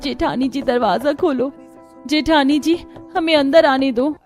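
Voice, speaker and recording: A woman as a meek, high-voiced young bride. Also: measured pace, darkish tone, faint music behind. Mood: anxious, pleading. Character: meek, patient young new bride, pleading and dutiful. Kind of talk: dramatized Hindi moral story cartoon.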